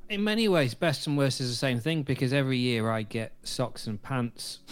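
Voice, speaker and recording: A man co-hosts a casual podcast. His voice is middle-of-the-road in pitch. He tells everyday anecdotes in a measured, explanatory way, qualifying things as he goes. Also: clear, resonant tone. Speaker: podcast co-host.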